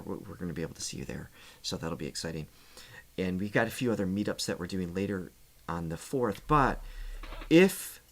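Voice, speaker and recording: Friendly joking man hosting a livestream. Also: fairly low pitch. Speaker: host of a music reaction livestream. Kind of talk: casual livestream chat with announcements.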